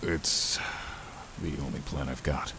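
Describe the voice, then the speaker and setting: A man playing a stern, commanding Dragonborn paladin with a gruff, growling voice in a fantasy audio drama. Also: extremely low pitch, steady tone, takes it slowly, noisy background, heavily acted. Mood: low, scheming. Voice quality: gravelly, growling. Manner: commanding, intimidating.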